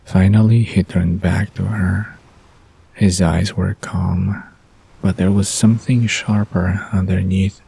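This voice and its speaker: Male narrator for bedtime drama. Very husky, low, unhurried. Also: little pitch movement, dark tone. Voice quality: husky, gravelly.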